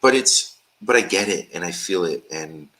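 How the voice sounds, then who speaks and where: Very deep-voiced male host. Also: animated, moderate pace, sharp tone, poor audio. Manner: supportive, prompting with "right?". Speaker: podcast host. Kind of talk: casual conversational interview. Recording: faint background noise.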